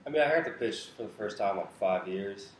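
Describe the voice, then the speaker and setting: A man's voice: lowish voice, lively intonation, fast talker. An American man in his twenties from Pennsylvania, talking casually as an interview guest. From Pennsylvania, United States. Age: 20s. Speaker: baseball player, interview guest. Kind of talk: casual chat. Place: living room couch, indoors. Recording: echoey room with faint background noise.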